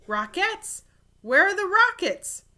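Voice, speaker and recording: A woman's voice: extremely high pitch, sing-song, takes it slowly, sharp tone, voice pushed up, full character voice. A woman voicing a headstrong, plaintive female cow in a children's storybook, speaking emphatically. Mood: puzzled, eager. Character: headstrong female cow, wailing and grumbling about the moon.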